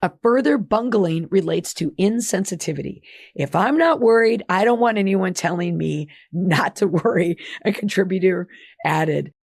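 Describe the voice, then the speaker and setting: Hoarse-voiced woman hosting a podcast. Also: fairly low pitch, expressive, normal speed, a little mellow, no echo, studio quality. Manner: chatty, reads list entries aloud with asides. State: hoarse, losing her voice. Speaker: podcast host. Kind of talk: solo podcast monologue.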